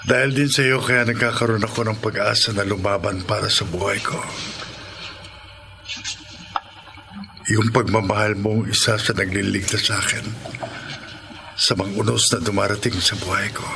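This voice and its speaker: An actor with a Filipino accent playing a gravely ill, despairing man in a radio drama, with an anguished, drawn-out delivery. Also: on the low side, noisy background. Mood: weak, grateful.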